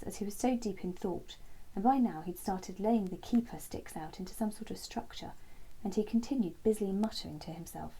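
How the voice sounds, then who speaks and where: A woman reads a children's bedtime story as storyteller. Her voice is very mellow and breathy, at a middling pitch, with gentle, scripted narration. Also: low-quality recording.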